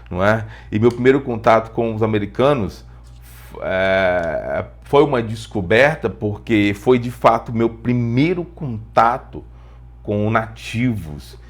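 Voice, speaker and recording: A man's voice: on the low side, a little slow. Brazilian man teaching, explanatory tone. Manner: explanatory, repeats phrases for emphasis, rhetorical questions. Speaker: English teacher. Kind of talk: informal spoken lesson with personal storytelling.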